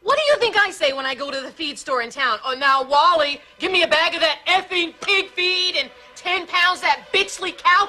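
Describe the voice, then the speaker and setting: A woman's voice: extremely high pitch, pushed and bright, heavily acted. An actress playing an obsessive, volatile woman who talks primly, shuns swearing and flips into screaming rage. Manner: prim and sugary, refuses to swear, snaps into screaming rage. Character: obsessive volatile middle-aged woman fan, prim and menacing. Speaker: film character. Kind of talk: film drama acting.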